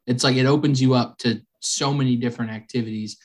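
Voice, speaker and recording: A man's voice: mid-range voice, fairly even intonation, fast, rough recording. Laid-back joking man co-host. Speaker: podcast co-host. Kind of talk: casual chat.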